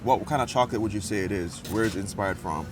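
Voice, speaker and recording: Male food vlogger, low voice, easygoing, chatty, faint music bed. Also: fast talker. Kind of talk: casual food review and ad read.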